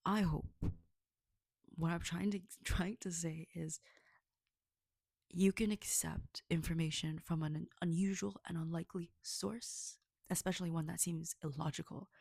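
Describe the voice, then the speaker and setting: Woman, low gravelly voice, casual and earnest, rambles and checks in with listeners; dry close recording. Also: fairly even intonation, unhurried, a little mellow, dry recording. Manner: rambling, earnest, frequent "okay?" check-ins. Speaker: storyteller reflecting on a fable. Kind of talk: casual spoken reflection to listeners.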